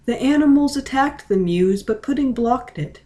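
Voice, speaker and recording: A woman reading a story aloud as dramatic, deliberate narration. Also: high voice, lively intonation, dark tone. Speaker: reader of a fan-fiction story.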